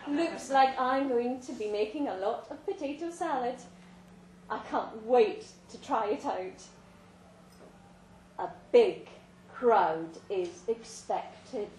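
A woman reading a literary story aloud to a live event, theatrical and deliberate, with dramatic pauses. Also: on the high side, darkish tone. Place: indoor live reading in a pub venue. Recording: roomy live venue, faint background noise.

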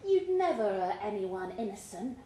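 A woman's voice: mid-range voice, big pitch swings, normal speed, close to an everyday voice. Woman as London girlfriend, devoted, hopeful, affectionate chatter, live storytelling, roomy echo. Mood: consoling. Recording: roomy live pub recording, faint background noise.

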